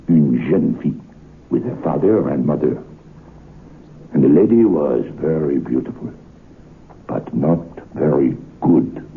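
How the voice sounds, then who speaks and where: A male actor playing a grave French waiter in an old-time radio horror drama, speaking formal, halting broken English with a French accent. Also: very deep voice, gravelly, full character voice. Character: grave French waiter telling a ghostly tragic tale. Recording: muffled old radio recording with hiss.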